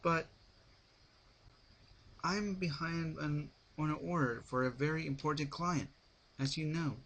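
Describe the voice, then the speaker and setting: A man's voice: on the high side, fairly even intonation, measured pace, fairly bright tone, voice pushed up, rough recording. A man voicing a polite, refined female cartoon pony in a story reading, busy and apologetic, with a hesitant manner. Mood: polite, evasive.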